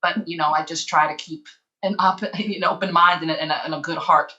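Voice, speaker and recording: A woman's voice: sits low, fairly even intonation, a little fast, bright, forceful tone. A woman giving an earnest, somewhat hesitant personal account as a panelist on a video call. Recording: slightly dull video call audio.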